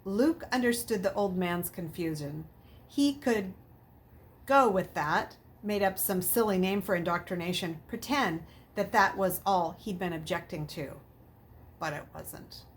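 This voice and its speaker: A woman's voice: fairly low pitch, unhurried, slight background noise. A woman reading a children's novel aloud as a storyteller, with dramatic, emphatic delivery.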